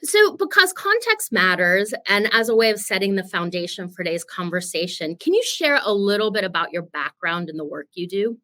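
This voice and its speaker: A woman's voice: medium pitch, lively intonation, fairly quick, hardly any pauses, sharp tone. A woman hosting an interview for a webinar, introducing topics and putting questions to a guest. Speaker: interview host, business founder.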